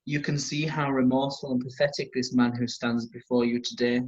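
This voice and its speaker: A man performs an earnest male defence lawyer in a slightly lo-fi recording. His medium-pitched voice is formal and persuasive, pleading carefully with the bench. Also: little pitch movement, a little fast, close to an everyday voice. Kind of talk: courtroom stage play acting. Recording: dull, lo-fi sound.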